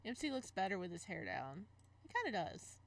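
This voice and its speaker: A woman reading a visual novel aloud and commenting casually as she plays, expressive and reacting out loud, sometimes stumbling over the text. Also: mid-range voice, brisk. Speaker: game streamer, let's player.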